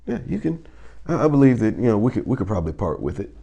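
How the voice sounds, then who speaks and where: A man with a low, mellow voice hosts a playful interview show in a roomy, echoing space. His delivery is theatrical and mock-formal, swooping up and down between drawn-out hums. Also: very animated, creaky, muffled recording. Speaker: talk show host.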